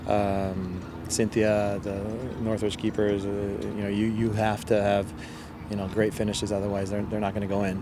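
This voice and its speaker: A middle-aged man speaks as a coach in a post-match interview. His voice is low and flat, and he feels his way through sentences in a measured, halting manner. Clear outdoor noise sits behind him. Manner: halting, measured delivery with repeated words. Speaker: soccer head coach being interviewed. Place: outdoors on a soccer field. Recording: outdoor field recording with loud ambient noise.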